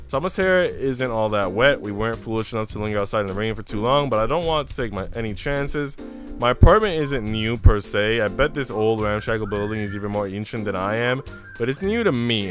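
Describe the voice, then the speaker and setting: A man voicing a kindly, wry young man in a visual novel, reading in measured, gently chiding prose. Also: fairly low pitch. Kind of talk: visual novel narration and dialogue read aloud. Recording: background music.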